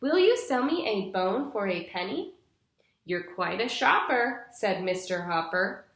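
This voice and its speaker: A woman in her twenties reading a picture book aloud to young children like a teacher, with deliberate, clearly phrased, instructive storytelling. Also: medium pitch, lively intonation, fairly bright tone. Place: small living room, indoors. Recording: roomy indoor echo.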